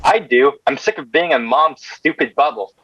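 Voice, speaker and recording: A man's voice: a little high, lively intonation, moderate pace, fairly bright tone. A man playing a sullen, self-absorbed sixteen-year-old boy in a screenplay table read. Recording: dull lo-fi mic, clear noise.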